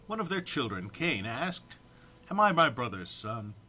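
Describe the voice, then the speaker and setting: A man's voice: medium pitch, sing-song, measured pace, bright, forceful tone. A man informally introducing and reading comic text aloud in a mock-solemn manner, struggling to keep from laughing. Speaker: amateur reader recording for an online post.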